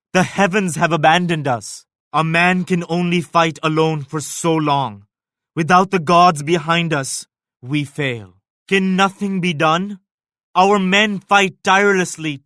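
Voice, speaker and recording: A proud, devout Indian emperor from a strategy game. His voice sits a little high for a man and is recorded close and dry. He speaks formally and solemnly, in measured declarations, like a pious ruler addressing a wartime ally. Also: sharp tone, no room sound. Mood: grave, despairing. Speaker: video game leader character. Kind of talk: scripted strategy game voice lines.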